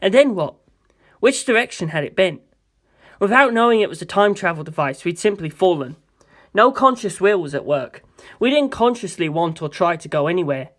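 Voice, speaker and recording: A narrator with a mid-pitched voice reads a novel aloud in the first person. The delivery is that of scripted storytelling, carried line by line as an amateur audiobook. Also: lively intonation, moderate pace, fairly bright tone.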